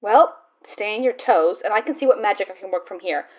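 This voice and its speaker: A woman's voice: medium pitch, brisk, lightly acted. A woman playing a magical guide to a time traveler in a scripted audio drama, on a muffled recording. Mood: brisk, instructive.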